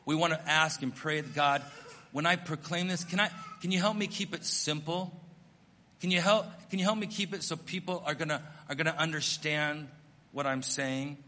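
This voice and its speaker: A man's voice: a little high, fairly even intonation, moderate pace, bright, forceful tone. Earnest preaching man in a hall. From United States. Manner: earnest, self-deprecating storytelling. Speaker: missionary pastor. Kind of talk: sermon.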